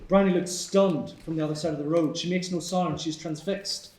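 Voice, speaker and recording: Casting: male narrator for screenplay action lines, higher-pitched, recorded with clear room echo. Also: fairly even intonation, normal speed, darkish tone, a little hiss or hum. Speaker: narrator reading stage directions. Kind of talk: scripted screenplay narration at a table read. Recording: echoey room.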